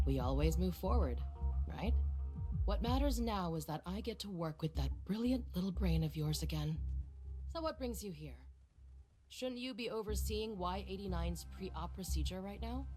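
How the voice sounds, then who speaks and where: A woman's voice: fairly low pitch, a little mellow. An actress playing a middle-aged woman research director in a video game, silky, teasing and patronizing, with a manipulative streak.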